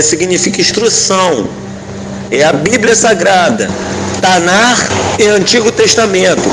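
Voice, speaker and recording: A man giving informal religious teaching as a rabbi, halting and didactic, often checking that the listener follows. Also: a little high. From Israel. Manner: didactic, halting, often seeks agreement with tags. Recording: clearly noisy, vehicle-like background sound.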